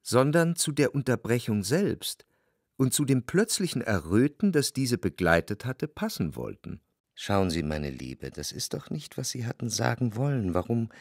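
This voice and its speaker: Brooding jealous rich lover in a radio play, careful reflective wording, lots of pitch movement, super dry sound. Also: male voice, dry recording. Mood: insistent, probing. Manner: measured, reflective, articulate. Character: introspective, jealous, wealthy male lover recalling his affair. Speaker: narrator and lead actor in a radio play. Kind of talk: literary radio drama narration.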